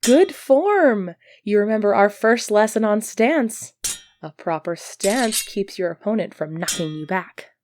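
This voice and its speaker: A woman's voice: medium pitch, lively intonation, a little slow, a little mellow, a little hiss or hum, lightly acted. A woman playing an earnest, easily flustered medieval knight devoted to her prince, speaking politely and formally in an ASMR roleplay. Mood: playful, confident. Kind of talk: ASMR roleplay acting, formal old-fashioned speech.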